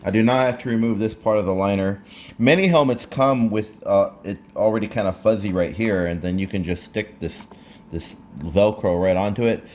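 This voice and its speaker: A man narrating a casual hands-on how-to, explaining step by step in a halting way, recorded indoors. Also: on the low side, darkish tone. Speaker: presenter of a how-to video. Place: indoors, living room at a table. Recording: clear background room noise.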